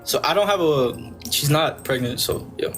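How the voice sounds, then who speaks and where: High-voiced, defensive young man. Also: lively intonation, normal speed, fairly bright tone, faint music behind. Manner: defensive, insistent, repeats demands. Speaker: interviewee. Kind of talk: heated argument.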